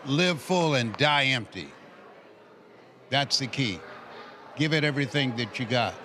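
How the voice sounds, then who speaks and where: A man's voice: medium pitch, animated, unhurried, bright, forceful tone, slight background noise. A man of eighty giving motivational encouragement in conversation as a guest, in a preacherly way full of maxims. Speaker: motivational speaker, interview guest.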